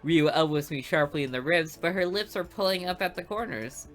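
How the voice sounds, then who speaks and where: A woman's voice: sits low, a little fast, soft background music. A woman reading a visual novel aloud for a let's play, with playful asides between the lines.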